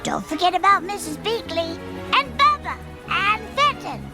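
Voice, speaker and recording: A woman's voice: very high voice, big pitch swings, normal speed, exaggerated voice. A woman voicing a chirpy young duck boy. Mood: eager. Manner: chirpy, eager. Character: young duck boy nephew, eager and earnest. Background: game music.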